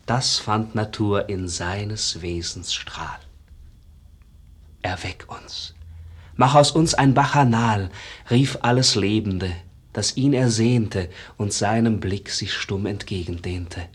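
A painter's devoted pupil in a 1950s radio verse play. His low voice has a breathy grain, and he unrolls exalted, lyrical lines in stage German at a slow, rhythmic pace. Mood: rapturous. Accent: standard stage German.

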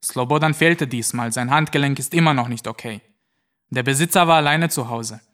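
A man's voice: mid-range voice, brisk, fairly bright tone, close to an everyday voice. An actor playing a tough, crude young gang member, telling his story in brazen slang in an audio drama. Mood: brazen, scornful. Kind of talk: narrated monologue in a German audio play.

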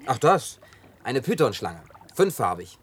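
Gruff scruffy sailor guy around forty, easygoing, a bit shy. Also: mid-range voice, sing-song, measured pace, a little hiss or hum. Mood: grumbling, bashful. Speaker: dubbing actor. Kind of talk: German film dub.

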